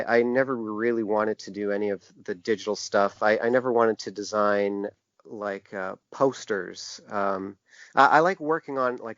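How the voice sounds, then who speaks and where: A man answering interview questions as a designer, in a conversational but halting way, often restarting his phrases. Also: on the low side, fairly bright tone, no room sound. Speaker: podcast guest, book designer. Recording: dull, band-limited lo-fi sound.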